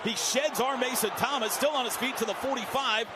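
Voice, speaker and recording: An American man calling football play-by-play on the radio in clipped, vivid, excitable phrases. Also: extremely high pitch, a little fast. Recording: noisy stadium radio broadcast.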